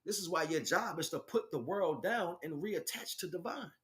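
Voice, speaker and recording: A man's voice: a little high, lively intonation, normal speed, a bit bright. A man giving an unscripted spiritual teaching as a livestream host, speaking emphatically and repeating phrases for stress. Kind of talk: unscripted spiritual monologue, preaching.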